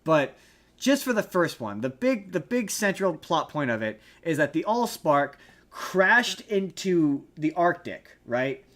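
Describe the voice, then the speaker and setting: Male podcast co-host, high voice, casual but emphatic explainer who rambles and argues his point. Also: sing-song, a little slow, a bit bright. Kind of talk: casual opinionated film discussion.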